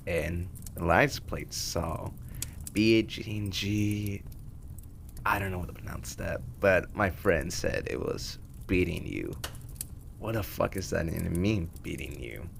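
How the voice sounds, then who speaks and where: A performer voicing a casual, halting young hellhound in a fan comic dub. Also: low-pitched, creaky, slight background noise. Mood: casual storytelling.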